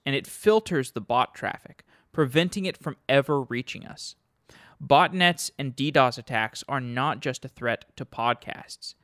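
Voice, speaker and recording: A man's voice: a little high, fairly bright tone, no room sound, studio quality. A man reading a scripted sponsor message as a podcast host. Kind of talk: scripted ad read.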